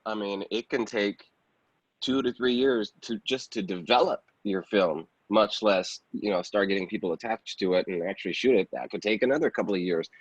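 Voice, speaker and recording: A man's voice: on the low side, normal speed, husky. A man chatting casually and easily as an interview guest who works in acting and film. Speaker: guest actor and filmmaker. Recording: dull, roomy lo-fi audio.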